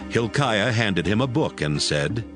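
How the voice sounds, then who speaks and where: A man narrating scripture as a scripted, dramatized audiobook in a measured storytelling style. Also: lowish voice, expressive. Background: prominent music.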